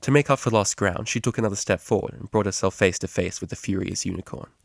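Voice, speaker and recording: A man's voice: on the low side, fast talker, creaky, no echo, clean studio sound. A man reading a scripted story aloud as an amateur narrator. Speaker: amateur fan-fiction reader.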